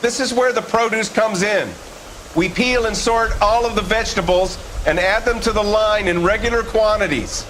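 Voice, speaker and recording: A man's voice: high voice, moderate pace, fairly bright tone, slight background noise, rough recording. An actor playing a defensive, evasive male factory owner with a folksy manner in a video game. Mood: businesslike. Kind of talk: acted video game dialogue.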